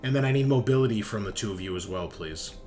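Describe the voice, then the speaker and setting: A man with a fairly low voice leads a tabletop roleplaying game as game master. He improvises scenes in loose, conversational language. Clear music plays behind him. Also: expressive, fast talker. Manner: casual, conversational, acts out characters' lines. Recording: loud background music.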